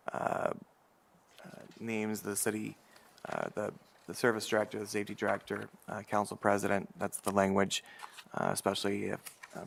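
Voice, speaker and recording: A man speaks at a public city meeting, laying out options to a commission. His voice is low, gravelly and fairly flat. He pauses often with fillers. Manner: measured, with many fillers. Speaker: city official presenting to a commission.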